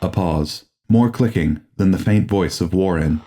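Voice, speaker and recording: A man reading a horror audiobook as a grave, formal, deliberate and dramatic narrator. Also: deep voice, dark tone, slight background noise.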